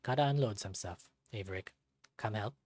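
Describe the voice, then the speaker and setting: A man voicing a dry, laconic, gruff male raccoon thief in a visual novel. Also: on the low side, fairly quick, darkish tone, no room sound, natural voice. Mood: casual.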